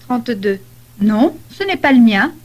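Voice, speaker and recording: A woman playing a polite young holidaymaker in a scripted language-lesson dialogue, speaking deliberately. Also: a little high, big pitch swings. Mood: polite, helpful.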